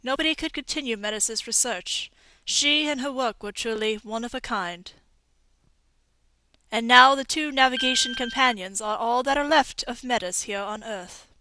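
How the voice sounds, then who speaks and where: Casting: woman as embittered female inventor, highish forceful voice, biting delivery, game fan dub. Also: normal speed, no room sound, poor audio. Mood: grieving, wistful. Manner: mocking, brusque, biting. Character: bitter, sharp-tongued grieving female robot engineer.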